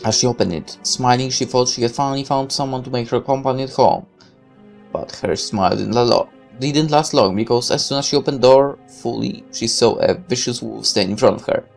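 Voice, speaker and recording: A woman's voice: very deep voice, brisk, vocal fry, darkish tone, soft background music. A woman playing a visual novel, reading the text aloud and dropping irreverent, sarcastic asides. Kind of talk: game playthrough, reading text aloud with offhand commentary.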